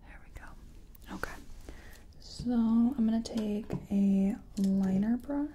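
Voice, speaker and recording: Soft breathy lady whispering asmr makeup roleplay, mellow and gentle, bit of background noise. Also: a little high, takes it slowly. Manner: gentle, reassuring, murmured repetitions. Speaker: ASMR performer playing a makeup artist.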